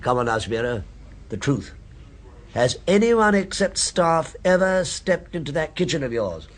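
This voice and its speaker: A jovial, teasing old friend. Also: male voice, on the high side, big pitch swings, a little slow. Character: genial male friend, longtime restaurant regular. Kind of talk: radio drama acting.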